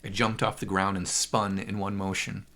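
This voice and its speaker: A man's voice: lowish voice, little pitch movement, moderate pace, sharp tone. A man narrating a first-person horror story with an intense, theatrical delivery.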